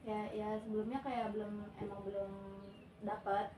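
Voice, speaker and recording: A woman's voice: medium pitch, flat delivery, moderate pace. A young woman playing a client in a counseling role-play, talking casually and rambling with fillers. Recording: echoey room, distant mic, noise.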